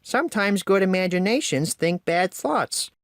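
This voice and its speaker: A kindly storybook engine offering gentle reassurance, as a man plays him. The voice sits above a normal man's talk and moves with a lively lilt. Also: high-pitched, normal speed, dry recording, studio quality, voice pushed up. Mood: soothing.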